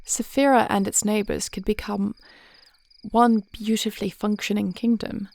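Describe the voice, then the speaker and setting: A woman playing a devoted warrior princess in an intimate fantasy audio roleplay, speaking in measured, formal phrases with a reassuring, thoughtful manner. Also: mid-range voice, flat delivery, gravelly, darkish tone, lightly acted. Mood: confident, reassuring. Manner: measured, articulate, formal old-fashioned phrasing.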